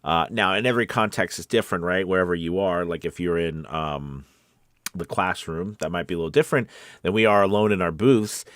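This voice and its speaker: A man's voice: deep voice, a little fast, fairly bright tone, dry recording, studio quality. A man giving a casual, conversational lesson as a voice acting coach, encouraging and often checking in with the listener. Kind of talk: casual instructional talk.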